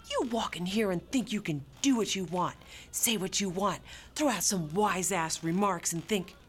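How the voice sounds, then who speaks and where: A woman's voice: lowish voice, a little hiss or hum. A woman acting in a radio play as an officious, rule-bound head nurse, formal and authoritative.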